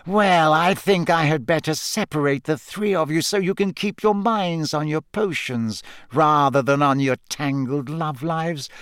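A man acts out a menacing male schoolteacher from a storybook, full of cold contempt. He sneers and hisses with slow, deliberate care. The recording is dry and close. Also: a little high, a bit bright, clean tone, no echo. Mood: mocking. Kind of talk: audiobook character voice.

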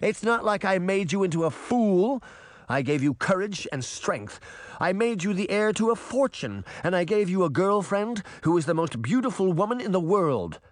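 A man voicing a sharp-tongued, weary wicked queen in a storybook reading, scolding and emphatic. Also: high voice, big pitch swings, moderate pace, dry recording, voice pushed up. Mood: indignant.

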